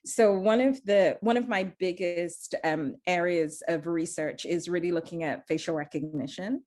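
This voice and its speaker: A woman's voice: lowish voice, normal speed, a little mellow. A woman from Zambia, speaking earnestly as a panelist and often restarting her sentences. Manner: earnest, searching, many restarts. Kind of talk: panel discussion.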